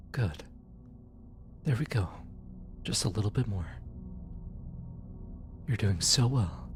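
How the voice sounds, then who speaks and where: Gentle demon guide acted, very slow pace, reassuring, hesitant, ASMR comfort roleplay, faint music behind. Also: low voice. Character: gentle, soft-spoken demon receptionist of hell, reassuring guide.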